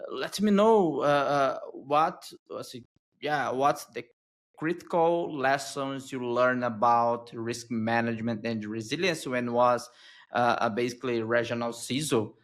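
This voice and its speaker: A man speaking non-native English with a foreign accent, interviewing as a podcast host in a halting, repetitive, agreeable way. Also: on the high side, fairly bright tone. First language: not English. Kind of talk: casual interview.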